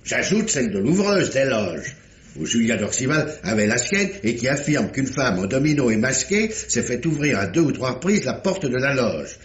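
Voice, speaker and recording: A man's voice: medium pitch, very animated, normal speed, echoey room, close to an everyday voice. An actor playing a fussy, authoritative middle-aged examining magistrate in a theatrical radio mystery style, often repeating his words. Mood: grave, firm. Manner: theatrical, hesitant repetitions, commanding. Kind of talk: 1950s French radio mystery acting.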